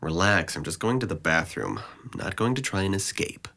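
A man's voice: sits low, lively intonation, a little fast, creaky, bright, forceful tone, heavily acted. A man playing a depressed, sardonic twenty-one-year-old in an audio drama, with a dry, articulate delivery. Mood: resigned. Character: sardonic, depressed young man, resentful and withdrawn.

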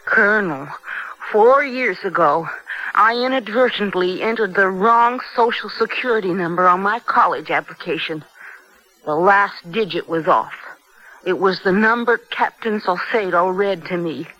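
A dull, band-limited old radio recording of a young American woman prisoner. Her voice is tense and sharp, defiant and bitter, acted for a dramatic radio play. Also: medium pitch, unhurried. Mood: defiant, sardonic. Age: young adult.

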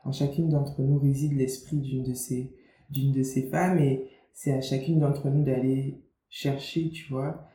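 Dark-voiced young woman chatting in a room. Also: extremely low pitch, little pitch movement, unhurried. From Guadeloupe. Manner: chatty, lots of fillers and restarts. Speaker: author and media founder, guest. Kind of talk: casual interview talk. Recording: roomy, faint background noise.

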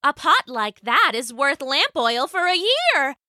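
A woman acts out an old farmer's wife in a children's storybook. She is excitable and affectionate, her voice high and swooping. The sound is bright and dry. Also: measured pace, no echo, heavily acted. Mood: delighted.

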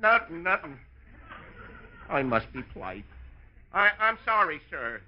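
An actor playing a harried, whiny American store clerk in an old radio comedy, on a muffled, noisy recording. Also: male voice, a little high, big pitch swings, measured pace. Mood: strained politeness. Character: harried, exasperated male store clerk, sarcastic under forced politeness. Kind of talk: 1940s-50s American radio comedy acting.

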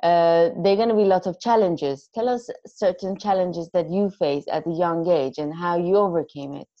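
A woman's voice: fairly low pitch, moderate pace, warm tone, dull audio. A woman hosting a podcast interview, chatty and encouraging. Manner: chatty, encouraging, with small affirming noises. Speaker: podcast host.

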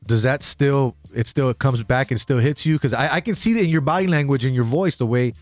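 Fast-talking upbeat male radio host. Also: medium pitch, no echo. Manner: upbeat, hypes the guest, asks probing follow-ups. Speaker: sports radio show host. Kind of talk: conversational interview.

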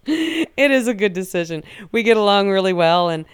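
A woman hosting a casual radio show, chatty and animated, laughing often. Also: mid-range voice, moderate pace, smooth, no echo, clean studio sound.

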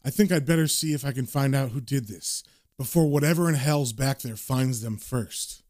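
A man's voice: mid-range voice, moderate pace, darkish tone, no room sound, close to an everyday voice. A man voicing a cynical British occult detective in a dry, muttered, slangy way, as in a comic book story. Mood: brooding.

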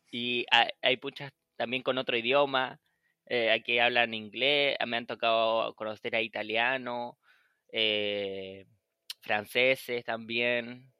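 A man's voice: mid-range voice, sharp tone, clear, resonant tone, no echo. A young man from Chile chatting casually as a podcast host, acting out old conversations and laughing often. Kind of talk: casual chat.

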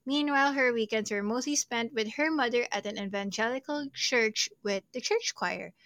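A woman's voice: fairly high pitch, sharp tone, clean tone, no echo. Chatty young woman podcast host. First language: not Portuguese. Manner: chatty, jokey, self-deprecating asides. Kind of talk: casual true crime podcast narration.

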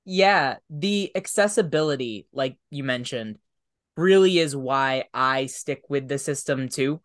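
A man's voice: mid-range voice, measured pace, a bit bright. A man hosting a podcast interview, talking casually in a thoughtful, reflective way. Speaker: podcast host.

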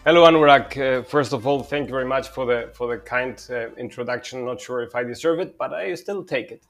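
A man's voice: medium pitch, fairly quick, a bit bright, soft background music. A man from Spain, a telecom company head talking through his career in an interview with frequent fillers and restarts. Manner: hesitant, with filler words and repeated starts. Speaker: guest, telecom consulting CEO. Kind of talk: conversational interview.

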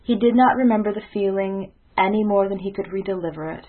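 A narrow, phone-like recording carries a woman's poetry reading. Her voice is mid-pitched, and she recites in a literary style. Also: fast talker. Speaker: poetry reader.

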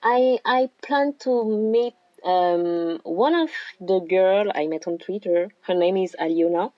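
A woman's voice: mid-range voice, slow pace, no room sound, dull audio. A woman from Cameroon who is a guest on a podcast, speaking English with a French accent in a shy, hesitant way. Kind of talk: casual interview.

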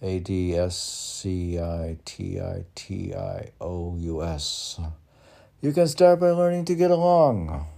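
Older male poet, slow delivery, mellow dark tone, halting, casual recitation of own verse. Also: low-pitched, lively intonation, gravelly. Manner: halting, spells out and repeats words. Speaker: poet reading own work. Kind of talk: poetry reading with casual asides.

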